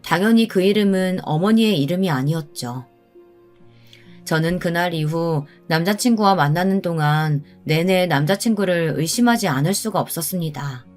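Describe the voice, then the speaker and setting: A woman's voice: lowish voice, moderate pace, darkish tone, soft background music. A woman reading a scripted first-person story as its narrator.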